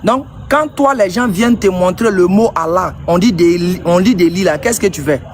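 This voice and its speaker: High-voiced man mocking his opponent. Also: moderate pace, soft background music. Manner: mocking, sarcastic, speaks to viewers. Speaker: debater. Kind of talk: live online debate.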